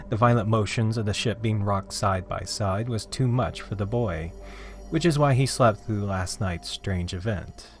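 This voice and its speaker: A man narrating a scripted horror story in a theatrical, deliberate, dramatic way, with drawn-out phrases. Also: on the low side. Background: background music.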